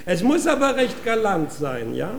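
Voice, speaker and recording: An actor in a comic radio play, playing a naive, vain gentleman pupil who is childishly delighted and exclaims and repeats himself. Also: high voice, very animated, normal speed, vocal fry, echoey room, a little hiss or hum, full character voice. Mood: earnest, coy. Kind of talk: theatrical classic-comedy radio acting.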